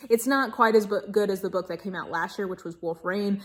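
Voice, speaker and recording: A woman's voice: mid-range voice, fairly quick. A woman giving a casual video book review in a gushing, emphatic manner, stretching key words for stress. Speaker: book reviewer and channel host.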